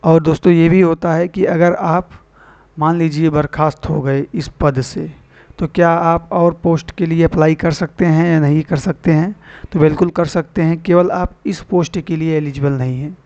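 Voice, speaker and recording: A man speaks in a fairly high register, explaining things informally like an online tutor. He repeats and rewords important points and addresses students as friends. The sound is somewhat muffled and low in fidelity. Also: steady tone, moderate pace, darkish tone. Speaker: online exam-prep teacher and channel host. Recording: dull lo-fi voice recording.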